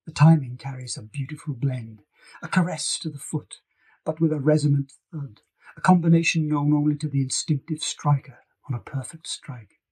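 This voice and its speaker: A man's voice: on the high side, steady tone, moderate pace, darkish tone, breathy edge, low-quality recording. A man reading scripted fiction as an author narrating an audiobook. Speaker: author reading own novel.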